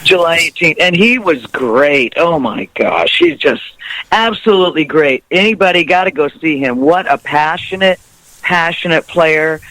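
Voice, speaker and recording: A musician guest talking in a rambling, laugh-filled way during a radio interview. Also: male voice, on the high side, expressive, unhurried, dry recording, low-quality recording. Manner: rambling, laughs often, warm storytelling. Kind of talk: interview chat.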